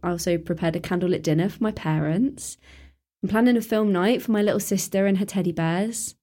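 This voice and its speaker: A young woman acting an earnest, polite teenage girl in a radio play, dutiful and quietly worried. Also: mid-range voice, fairly quick, close to an everyday voice. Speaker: Emma, teen neighbour.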